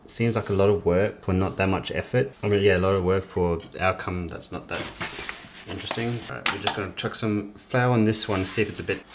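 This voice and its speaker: A man's voice: lowish voice, slight background noise. A young man hosting a home cooking vlog, talking casually and rambling as he corrects himself mid-sentence. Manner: relaxed, rambling, self-correcting. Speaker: cooking channel host. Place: home kitchen.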